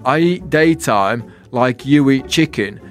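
A man's voice: mid-range voice, lively intonation, slow, faint music behind. Man voicing a bold, bossy night sky. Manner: bold, loud, lecturing. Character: bossy, demanding fallen piece of night sky.

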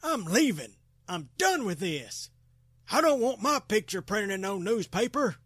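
An actress playing a nervous, folksy small-town mob member in a 1940s radio drama, with a hesitant manner. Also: female voice, mid-range voice, big pitch swings, a little slow, husky, dry recording, clean studio sound. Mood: nervous, sullen.

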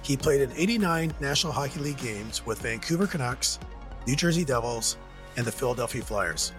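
Canadian male host-narrator, animated delivery, light music bed. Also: medium pitch, a little fast. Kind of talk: scripted narration.

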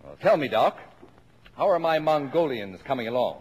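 A man's voice: mid-range voice, big pitch swings, moderate pace, darkish tone, breathy edge. An actor playing a stubborn, jovial middle-aged American father in a 1950s radio drama, brash and bossy in manner. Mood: sheepish. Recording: noisy vintage radio broadcast recording.

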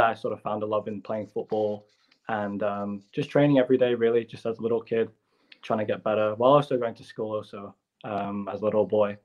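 Young man on a dull lo-fi line. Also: lowish voice. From Hong Kong. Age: young adult. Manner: polite, plain answers with fillers. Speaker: youth footballer, guest. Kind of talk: casual interview.

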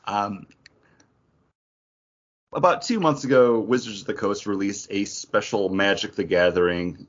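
A man's voice: lowish voice, lo-fi sound. A man hosting a podcast in a casual, conversational style. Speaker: podcast host. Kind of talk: casual chat.

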